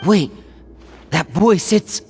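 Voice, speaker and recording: A woman voicing an earnest, gentle, idealistic young woman in a video game, speaking questioningly. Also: mid-range voice, animated, slow, a little hiss or hum. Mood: uncertain.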